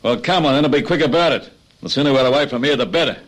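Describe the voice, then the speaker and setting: An actor playing a bossy, scornful Australian leader of a train robbery gang in a radio drama. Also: male voice, medium pitch, rapid-fire, natural voice, low-quality recording. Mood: impatient, commanding.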